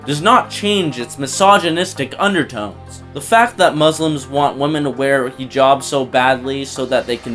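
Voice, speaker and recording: Guy ranting sarcastically over a faint music bed, fairly high voice that bounces around a lot. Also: a bit bright. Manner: sarcastic, mocking. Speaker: reaction video host. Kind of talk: opinionated commentary.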